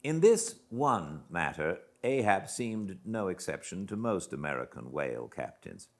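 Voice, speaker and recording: A British man reading a classic novel aloud as an audiobook narrator, in a measured, theatrical manner with dramatic pauses. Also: low voice, sing-song, creaky. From Britain. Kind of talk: expressive literary audiobook narration.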